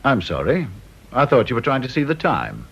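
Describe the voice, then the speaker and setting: An actor playing a needling senior British official in a radio drama, dry, sardonic and mock-polite. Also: male voice, medium pitch, wildly swooping pitch, normal speed, vocal fry, a bit bright, breathy edge, heavily acted. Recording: dull old radio recording.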